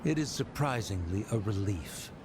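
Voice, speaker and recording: A man voicing a haughty, disdainful scholar villain in a video game, condescending and deliberate, with ambience behind. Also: on the low side, expressive, noisy background, natural voice. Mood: scornful. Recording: loud background ambience.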